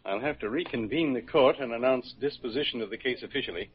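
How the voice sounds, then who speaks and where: An actor in an old radio drama playing a fair-minded judge, formal, courteous and measured. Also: male voice, mid-range voice, lightly acted. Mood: courteous, interested. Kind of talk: 1940s radio acting. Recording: dull, noisy old radio recording.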